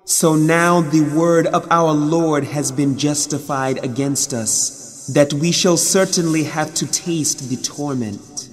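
Slow, reverberant man reading scripture solemnly. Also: fairly high pitch. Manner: measured, deliberate phrasing. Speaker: reader of a scripture translation. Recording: long cavernous studio reverb.

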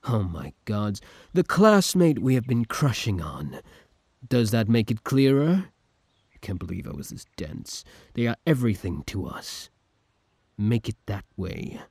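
A man playing an obsessive, lovesick older villain from the future in an audio roleplay, commanding and intimate, with a husky voice. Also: fairly low pitch, sing-song, a little slow, a little mellow, no room sound, clean studio sound, heavily acted. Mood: commanding, possessive. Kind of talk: scripted ASMR roleplay acting.

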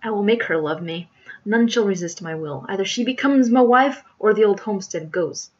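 A woman's voice: mid-range voice, moderate pace, muffled recording, natural voice. A woman voicing a dastardly male squire from a storybook melodrama, stern, snapping, imperious and pompous. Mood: menacing, imperious.

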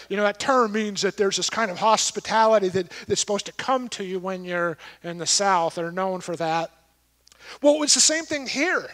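A man's voice: sits high, a bit bright. A middle-aged man preaching a sermon from a church stage, talking conversationally and asking his listeners questions. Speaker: pastor. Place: large church stage with an audience.

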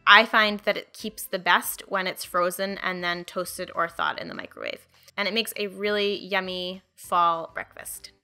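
A woman's voice: lowish voice, fairly even intonation, measured pace, pushed and bright, faint music behind. A young woman in her twenties, a cooking channel host talking viewers through recipes in a friendly, chatty way from her home kitchen. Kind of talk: upbeat recipe walkthrough.

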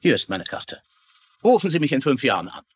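A man's voice: medium pitch, sing-song, brisk, husky, dry recording. An actor playing a polite, formal male lawyer in a dubbed crime drama. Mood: matter-of-fact. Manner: courteous, formal. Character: polite, smooth-talking male estate lawyer, dutiful. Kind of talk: German dubbed TV crime series acting.

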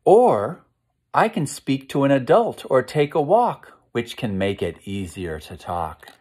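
A middle-aged American man reading aloud and gently guiding children, explaining things and putting questions to his listeners. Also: mid-range voice, big pitch swings, a little slow, husky. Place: small living room, indoors.

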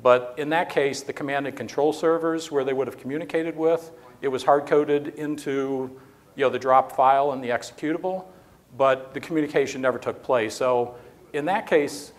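A man's voice: mid-range voice, normal speed. Man giving a chatty conference talk. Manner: conversational, with many "you know" fillers. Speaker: conference speaker. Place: conference room, indoors. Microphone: podium mic, standing beside lectern.

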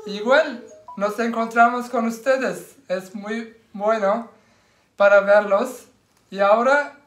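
Slow-talking man, echoey room. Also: very high-pitched, a bit bright, soft background music. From Turkey. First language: Turkish. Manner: slow, halting Spanish. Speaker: vlog co-host. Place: living room.

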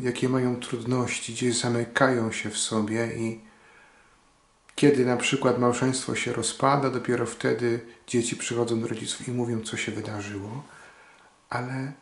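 A man in his forties, a priest, giving a short Sunday homily in slow, earnest speech with long pauses, filmed at a webcam in a small room. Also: mid-range voice, little pitch movement, gravelly. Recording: echoey room.

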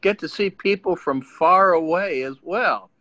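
High, bright-voiced man. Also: measured pace, lo-fi sound. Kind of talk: casual group chat. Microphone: video call.

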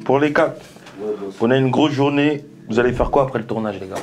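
A man's voice: mid-range voice, brisk, darkish tone, soft background music. A man interviewing a group, asking teasing questions and laughing along in casual slangy talk.

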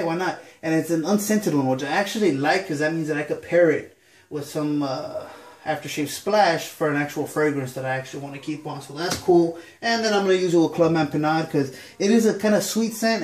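A man with a congested, stuffy nose talks easygoingly to a camera, filling pauses with ums. His voice sits a bit high for a man. The small bathroom around him adds a noticeable echo. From Southern California. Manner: relaxed, rambling with many ums. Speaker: shaving vlogger. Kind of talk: casual chat to camera. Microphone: camera on a counter facing a mirror.

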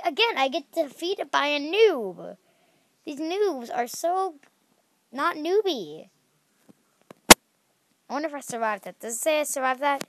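A woman's voice: high voice, dry recording. A young woman hosting a game channel, chatting casually as she plays and squealing at danger, with a slightly stuffy nose. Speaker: gaming channel host.

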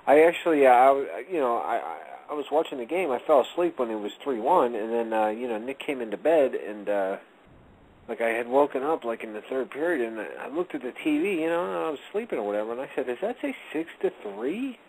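A man's voice: mid-range voice, brisk, slight background noise, low-quality recording. A man co-hosting a casual radio chat show, rambling and joking as he tells stories. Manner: rambling, jokey, animated storyteller. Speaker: co-host.

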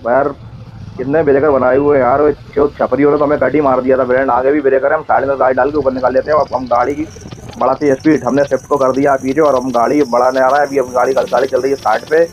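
A man's voice: mid-range voice, level tone, quick pace, a little hiss or hum, rough recording. A man with a central Indian accent giving casual, chatty running commentary as a motovlogger while riding, often repeating himself and addressing viewers as friends. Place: outdoors on a motorbike on a road.

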